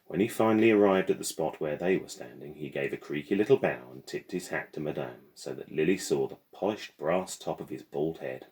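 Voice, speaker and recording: A man's voice: rumbling low, brisk, few pauses, a little mellow. A man reading a children's novel aloud as the storyteller.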